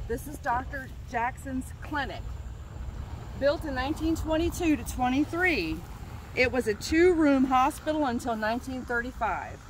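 Woman, middle-aged, warm nostalgic tour guide, higher voice, outdoors, street noise behind. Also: lively intonation, moderate pace. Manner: enthusiastic, fond and nostalgic. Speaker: vlog host and tour guide. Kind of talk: casual walking-tour commentary. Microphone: handheld camera at close range.

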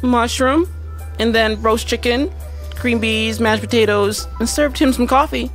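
Woman acting a high-voiced fretful dinner hostess. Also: unhurried, soft background music, lightly acted. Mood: flustered, evasive. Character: fretful, evasive wife hosting a dinner party. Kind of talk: amateur radio mystery drama acting.

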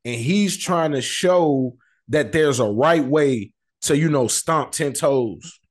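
A man's voice: fairly high pitch, takes it slowly. A man, sounding middle-aged, in casual, slangy street news commentary with profanity, often checking that the listener follows. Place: indoor room, video call.

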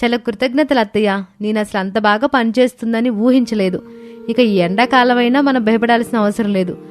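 A woman voicing a resourceful young daughter-in-law in a cartoon story, explaining things calmly and patiently. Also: fairly high pitch, brisk, lightly acted.

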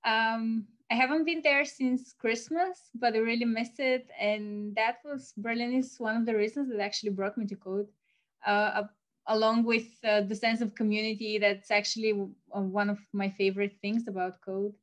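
A woman's voice: fairly high pitch, steady tone, moderate pace. A woman of twenty-two from Bulgaria, a student speaking cheerfully and a little hesitantly on a remote panel over a video call.

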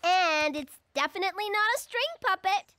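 A woman's voice: very high voice, moderate pace, sharp tone, no room sound, studio quality. A woman voicing an eager, earnest young girl bunny in a children's cartoon, a helpful best friend with a dramatic streak. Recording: music behind the voice.

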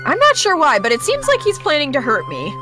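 A woman's voice: sits high, wildly swooping pitch, a little fast, music in the background. Young woman reading a visual novel aloud. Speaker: gaming video co-host.

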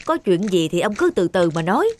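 A woman's voice: fairly low pitch, big pitch swings, fairly quick, slight background noise. An actress voicing a plump older village woman in a cartoon, sensible, frank and chiding. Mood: chiding, matter-of-fact. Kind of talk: animated moral tale voice acting. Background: background music.

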